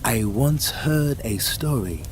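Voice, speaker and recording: A man playing an inviting storyteller, the keeper of stories, with deliberate theatrical delivery. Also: mid-range voice, animated, background noise. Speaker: framing storyteller.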